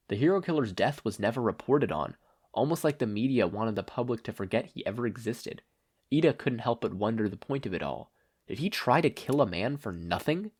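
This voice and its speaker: A man reading stories aloud as an expressive podfic narrator. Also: mid-range voice, slightly rough. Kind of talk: expressive fan fiction narration.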